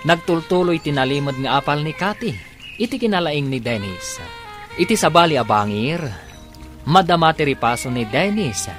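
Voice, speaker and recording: Over a prominent music bed, a male announcer narrates a radio serial in a slightly high voice. His delivery is dramatic and scripted, with lively swings in pitch and a Filipino Ilocano accent. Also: moderate pace. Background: background music.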